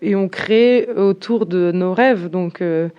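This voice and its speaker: A woman's voice: fairly low pitch, takes it slowly, dark tone, smooth, highs cut off. A young woman from southern France, a band's singer, answering interview questions shyly and hesitantly as she searches for her words. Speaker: singer of a band. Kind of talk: casual interview.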